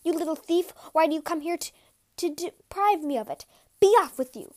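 Woman acting out a loud, fierce, blustering swindler. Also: high-pitched, animated, fast. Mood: fierce, bullying. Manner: shouting, blustering. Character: fierce, greedy male swindler, bullying and loud.

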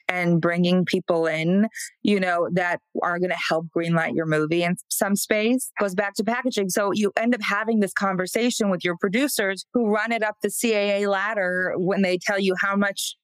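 A woman's voice: mid-range voice, smooth, no echo, low-quality recording. A woman co-hosting a podcast, a casting director, speaking thoughtfully and feeling her way through her points. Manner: thoughtful, searching, restarts sentences. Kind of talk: interview conversation.

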